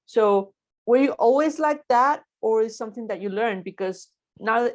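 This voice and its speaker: A woman's voice: a little high, normal speed. A woman hosting a podcast, chatting casually and acting out what people say. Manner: chatty, laughing, mimics people. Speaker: podcast host. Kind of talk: casual interview chat.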